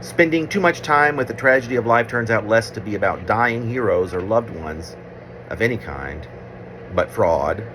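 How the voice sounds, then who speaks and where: A man reading his own literary poem aloud with deliberate phrasing, pauses and repetitions. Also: lowish voice, animated, background noise. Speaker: poet reading own work.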